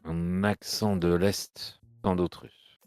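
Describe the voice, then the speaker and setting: Guy doing a polite refined fellow, slow careful words, low-ish voice. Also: level tone, darkish tone, dry recording, soft background music. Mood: measured. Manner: measured, courteous, careful diction. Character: polite refined male voice professional.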